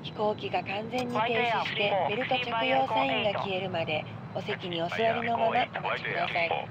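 A woman playing a polite, formal airline announcer in a game, reading a measured public announcement. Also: on the high side, a loud crowd, close to an everyday voice. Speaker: cabin and airport announcer. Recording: muffled, under loud aircraft noise.

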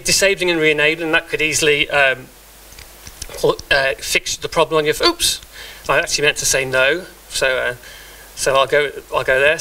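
A man's voice: fairly high pitch, bright, forceful tone, poor audio. A middle-aged man giving a live talk with a demo in a meeting room, speaking conversationally with frequent restarts and fillers. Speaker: conference speaker.

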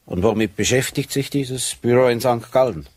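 An actor playing a dry, probing police sergeant who questions people tersely in a radio crime drama. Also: male voice, mid-range voice, moderate pace, darkish tone, slightly rough, no echo, natural voice.

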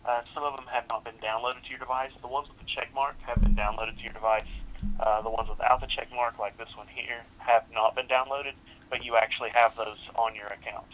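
Fast-talking young male support agent. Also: mid-range voice, flat delivery, a bit bright, slight background noise, low-quality recording. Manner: polite, helpful, informal. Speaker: tech support advisor. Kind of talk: customer service walkthrough. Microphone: headset on a video support call.

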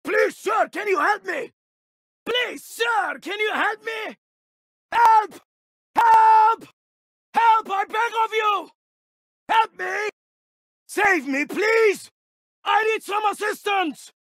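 High-pitched shouting medieval eastern warrior. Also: male voice, slow pace, pauses often, no echo, studio quality, full character voice. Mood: desperate. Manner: emphatic, declamatory shouting. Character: male medieval eastern warrior, loud, fierce, dramatic. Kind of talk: video game battle voice lines.

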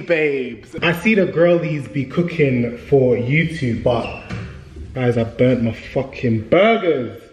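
A man's voice: on the high side, a little hiss or hum. A man in his thirties with a London British accent, chatting casually and candidly in slang as a vlogger, recorded indoors on a camera mic. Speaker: YouTube vlogger. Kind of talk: casual vlog chat. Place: indoors in a flat: kitchen, living room, bedroom.

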